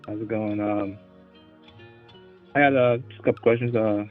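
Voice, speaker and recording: Hesitant caller on a dull line. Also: male voice, fairly low pitch, fairly even intonation, moderate pace, soft background music. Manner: hesitant, many ums and uhs. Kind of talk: phone-in questions.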